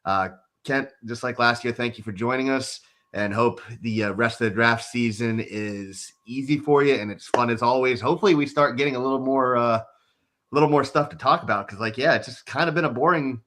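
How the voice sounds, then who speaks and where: A man's voice: mid-range voice, a little fast, fairly bright tone. A man hosting a podcast, chatting casually and thanking his guest and listeners as he wraps up the show. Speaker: podcast host. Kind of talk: casual chat, show sign-off.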